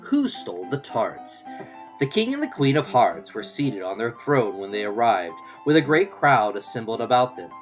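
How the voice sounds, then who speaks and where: Children's audiobook narrator, very lively pitch movement, scripted storytelling, soft music behind. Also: normal speed.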